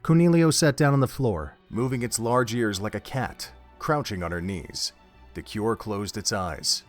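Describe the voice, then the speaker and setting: A man's voice: fairly low pitch, animated, a little slow, faint music behind. A man reading scripted narration for an audio drama, telling the story in prose.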